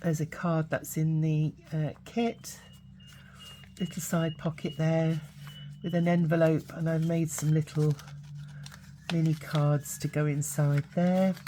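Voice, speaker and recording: A woman speaks in a hoarse, low voice, roughened by a cold. Her tone is mellow and unhurried, and she talks casually with plenty of ums and uhs. A faint background hiss sits under the recording. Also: flat delivery, poor audio. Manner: chatty, halting, many fillers. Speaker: craft channel host. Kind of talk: casual craft flip-through.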